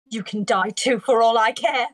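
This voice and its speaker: A woman's voice: fairly high pitch, normal speed, close to an everyday voice. A woman playing a proud, passionate young noblewoman in a screenplay table read. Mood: defiant.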